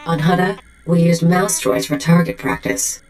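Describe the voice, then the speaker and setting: A woman's voice: lowish voice, fairly even intonation, moderate pace, a little mellow, echoey room, faint music behind, close to an everyday voice, low-quality recording. A woman playing a mocking, cocky sidekick in a video game, sardonic and teasing.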